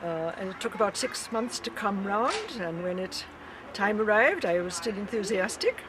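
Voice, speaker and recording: A woman from Perth who is on a long protest walk talks with gentle enthusiasm. The outdoor interview carries clear background noise. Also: medium pitch, expressive, moderate pace. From Perth, Australia. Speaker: walker on a protest march.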